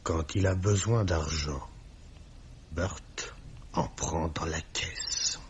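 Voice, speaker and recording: An actor playing a stern, sanctimonious company boss who speaks in pompous, formal, scripture-laden sentences in a 1950s-style radio mystery. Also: male voice, low-pitched, very slow. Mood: righteous, condemning. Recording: dull old radio recording with clear hiss.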